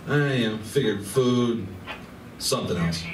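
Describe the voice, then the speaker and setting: A man's voice: fairly low pitch, animated, a little slow. Man playing a boozy roguish wolf, echoey. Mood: rambling, evasive. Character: boozy, flirtatious, roguish male wolf party animal. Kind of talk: live stage radio play acting. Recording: roomy, distant recording with faint noise.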